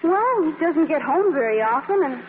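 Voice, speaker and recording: A woman's voice: high voice, very animated, normal speed. An actress in a 1940s radio play, playing a shy, wistful, eager young small-town woman with a girlish, earnest manner. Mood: confiding. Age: young adult. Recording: tinny, reverberant, crackly old radio recording.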